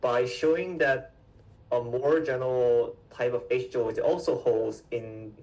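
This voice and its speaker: A man in his twenties from South Korea, a guest answering interview questions in a halting way, with many fillers and restarts. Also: medium pitch, steady tone, darkish tone, far from the mic, low-quality recording. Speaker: guest, PhD student.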